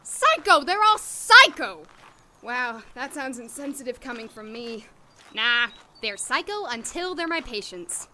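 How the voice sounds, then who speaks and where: Woman acting blunt idealistic doctor, high bright voice, natural audio drama delivery, faint background noise. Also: very animated, measured pace, exaggerated voice. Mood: exasperated.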